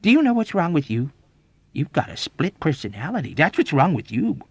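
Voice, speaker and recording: A man's voice: medium pitch, lively intonation, takes it slowly, mellow, no echo. A man voicing a belligerent, easily offended army major in an audiobook, indignant and accusatory. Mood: belligerent hectoring. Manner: indignant, accusatory, badgering, emphatic. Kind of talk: audiobook character acting.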